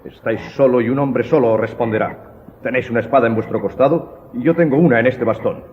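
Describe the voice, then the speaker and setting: An actor playing a stern, honour-bound leader of a secret club, formal and commanding, in a radio drama. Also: male voice, mid-range voice, quick pace, a little hiss or hum, close to an everyday voice. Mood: formal challenge. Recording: muffled phone-like sound, long reverb.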